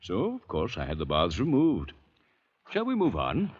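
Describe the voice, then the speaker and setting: A man's voice: on the low side, wildly swooping pitch, normal speed, darkish tone, slightly rough, heavily acted. An urbane, pompous rich patriarch in an old radio drama. His formal phrases rise and plunge dramatically, with cold ruthlessness beneath the polish. The sound is muffled and vintage. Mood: smug, dismissive. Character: urbane, coldly ruthless wealthy patriarch of an estate. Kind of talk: 1940s radio acting.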